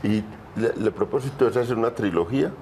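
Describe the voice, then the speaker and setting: A man hosting a studio panel, speaking reflectively and asking halting questions with restarts. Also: lowish voice, a little mellow, slight background noise. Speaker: panel host.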